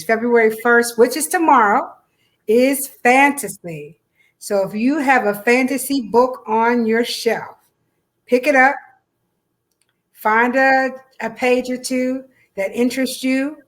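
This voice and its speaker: A woman's voice: a little high, unhurried. A woman co-hosting a casual chat about an event, talking in a chatty, encouraging way. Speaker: co-host.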